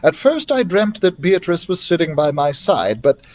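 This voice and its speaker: Male library storyteller, expressive narration, lively intonation, slightly high pitch. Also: moderate pace, a little hiss or hum.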